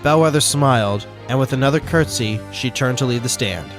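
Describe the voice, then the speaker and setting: A man's voice: medium pitch, a little fast. A man reading scripted story narration as the narrator. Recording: music behind the voice.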